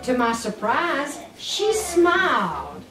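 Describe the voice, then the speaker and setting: An older woman, a grandmother, reads a children's story aloud expressively and deliberately, with doting asides to the children. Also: high voice, big pitch swings. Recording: echoey room, distant mic, music underneath.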